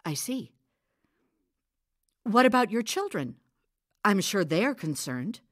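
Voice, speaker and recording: A woman playing a composed, caring village healer in an audio drama, steady, practical and coaxing. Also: mid-range voice, takes it slowly, no room sound, studio quality. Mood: gentle, probing.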